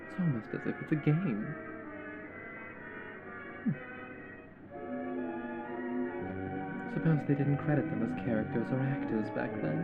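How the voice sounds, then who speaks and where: A man's voice: mid-range voice, normal speed, narrow, tinny audio, loud music behind the voice, lightly acted. A man playing a possessive, mocking yandere captor in an ASMR roleplay, with a drawling, theatrical, condescending manner. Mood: musing, idle. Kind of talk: scripted ASMR roleplay audio drama.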